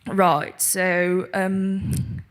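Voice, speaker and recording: A woman's voice: fairly low pitch, level tone, very slow. A woman from Hong Kong asking a spontaneous question from the audience, hesitant and often rephrasing herself.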